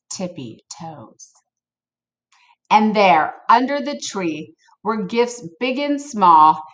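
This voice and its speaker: A woman reading a picture book aloud to children in an expressive, playful way, with casual asides to her listeners. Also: fairly high pitch. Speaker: storybook reader for children.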